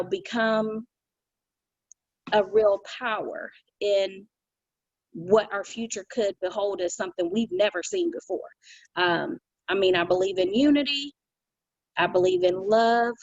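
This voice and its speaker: A woman's voice: mid-range voice, animated, measured pace, long pauses, no room sound. Earnest woman on a dull video call. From United States. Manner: earnest, thoughtful, many restarts and "you know". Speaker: community call participant. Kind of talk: casual reflective talk on a video call.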